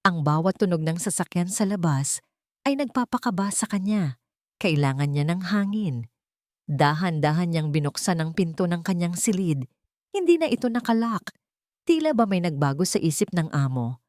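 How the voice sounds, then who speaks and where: A woman's voice: lowish voice, very animated, normal speed, a little mellow, dry recording, clean studio sound. A woman narrating a scripted romantic drama in an emotive storytelling manner.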